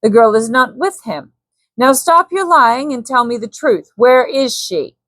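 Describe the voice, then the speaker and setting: A woman's voice: on the high side, animated, unhurried, fairly bright tone, no echo. A stern, commanding man of the jungle, as played by a woman narrator in a storybook tale. The speech is blunt, demanding and menacing, with a dry, close sound. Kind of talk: dramatic storybook character voice.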